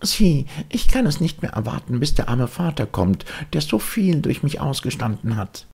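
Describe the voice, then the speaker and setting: A man voicing a naive, excitable little puppet boy in a storybook reading, childlike and eager. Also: medium pitch, normal speed, gravelly, husky, pitched above the performer's own voice, exaggerated voice. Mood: eager, affectionate. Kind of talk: audiobook storytelling.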